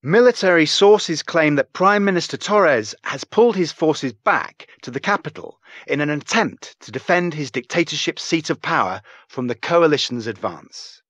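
A man playing a serious male television news anchor in a video game, reading scripted reports in a formal, measured, emphatic manner. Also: a little high, expressive, fairly bright tone, no echo, dull audio. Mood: grave, formal.

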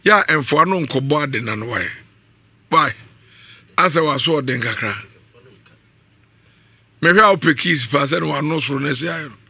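Bright, forceful Ghanaian male radio host. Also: fairly high pitch, expressive, a little slow, long pauses, husky, no echo. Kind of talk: live radio talk show hosting with ad-libbed sponsor reads. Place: small radio studio. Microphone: studio condenser mic on boom arm, up close.

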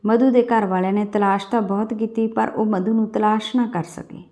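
A woman's voice: mid-range voice, fairly even intonation, fairly quick, warm tone. A woman reading a scripted story as an audiobook-style narrator.

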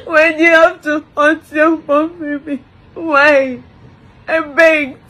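A woman's voice: high voice, little pitch movement, slow, bright, forceful tone. A distraught woman, crying and wailing through an emotional lament, repeating phrases over and over.

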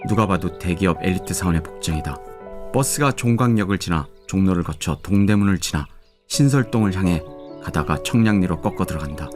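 Deep-voiced male drama narrator. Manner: steady, descriptive storytelling. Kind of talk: scripted narration. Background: background music.

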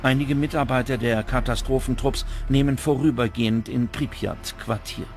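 A man's voice: mid-range voice, fairly even intonation, normal speed, a little mellow. A man reading scripted documentary narration as the narrator. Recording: music bed under the voice. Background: prominent background music.

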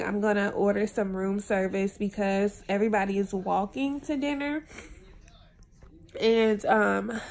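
A woman's voice: mid-range voice, vocal fry. Congested young woman vlogging from bed. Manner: tired, laid-back, chatty. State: congested, unwell. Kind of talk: casual vlog to camera. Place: hotel room, lying in bed. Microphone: phone held at arm's length.

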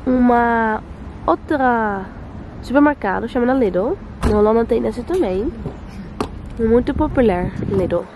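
Young woman, Dutch native, casual vlog, slow, halting, word-searching, slightly high voice. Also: expressive, background noise. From Netherlands. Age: young adult. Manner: halting, searching for words, slips into English. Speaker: vlogger. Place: outdoors and indoors, apartment and streets.

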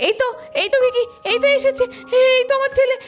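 A woman's voice: extremely high pitch, level tone, fast. A woman voicing an emotional middle-aged mother in a puppet cartoon, repeating herself as she pleads. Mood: relieved. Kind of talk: cartoon voice acting. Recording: background music under the voice.

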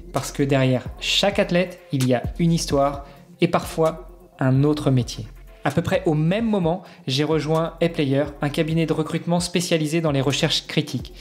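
A man's voice: on the high side, a little mellow. A man hosting a podcast, talking in an informal, chatty way. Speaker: podcast host. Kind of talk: podcast intro narration and casual interview chat.

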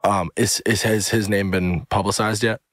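A man's voice: fairly low pitch, fairly even intonation, moderate pace, fairly bright tone, no room sound, clean studio sound. A young man co-hosting a casual podcast, rambling and full of filler words and swearing. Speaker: podcast co-host.

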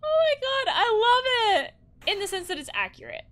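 Animated high-voiced woman reacting to a game. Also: normal speed. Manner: expressive, animated, exclaiming. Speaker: counselor playing and commenting on a game. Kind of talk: reaction commentary on a video game. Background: game music.

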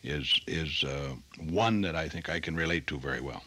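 A man in his forties from Indiana, a farm organization vice president, answering interview questions earnestly with some halting fillers. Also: a bass voice, sharp tone.

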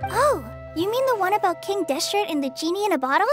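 A woman voicing a gentle, earnest teenage girl in a video game, youthful and a little hesitant, over music. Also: very high voice, sing-song, quick pace. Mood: earnest, thoughtful. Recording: music behind the voice.

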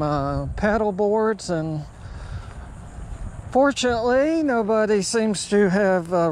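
An American man in his sixties chatting casually and haltingly as he narrates an outdoor vlog. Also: sits high, a little mellow, a little hiss or hum. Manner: halting, unhurried. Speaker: amateur radio operator and vlogger. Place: outdoors at a beachside park. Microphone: handheld action camera or phone at arm's length.

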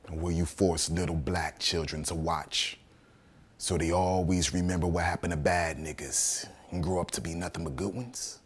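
A male actor performing a theatrical monologue as a bitter, righteous condemned rebel, in an accusatory, oratorical manner that swings between hushed and thundering. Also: lowish voice, natural voice.

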